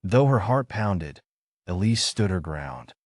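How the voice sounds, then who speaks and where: A man narrating a scripted bedtime story as a storyteller, in an expressive, theatrical manner. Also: deep voice, takes it slowly, no echo.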